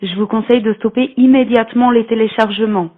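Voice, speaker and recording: A woman's voice: fairly high pitch, steady tone, brisk, natural voice. A woman playing a polite, officious law-firm agent, speaking formally in a prank phone call. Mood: matter-of-fact. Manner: formal, courteous, businesslike. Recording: tinny, narrow phone line.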